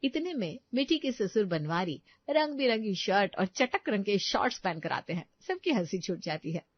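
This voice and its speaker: Female narrator, scripted bedtime storytelling, medium pitch, dull lo-fi sound. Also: big pitch swings, normal speed, no room sound.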